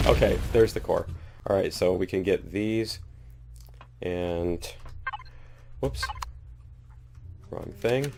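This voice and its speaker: A man's voice: low voice, expressive, drawn-out words, creaky, long pauses, noisy background. A man with a cold chatting casually while playing a video game, self-deprecating and laughing at his own mistakes. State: has a cold. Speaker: gaming YouTuber. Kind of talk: casual let's-play commentary.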